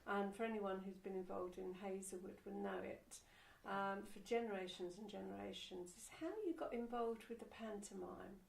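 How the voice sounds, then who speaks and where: A woman's voice: medium pitch, level tone, normal speed, vocal fry, few pauses. A woman asking questions conversationally as an interviewer, recorded off-mic in a roomy space. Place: indoors, away from the mic. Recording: roomy echo, off-mic and distant.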